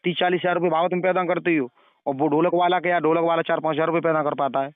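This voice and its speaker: A man's voice: on the high side, steady tone, fast, no echo. Preachy man on a tinny phone line. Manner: moralizing, preachy, repeats phrases. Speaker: caller questioning and advising the other party. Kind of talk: phone conversation. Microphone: telephone. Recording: narrow tinny phone-call recording.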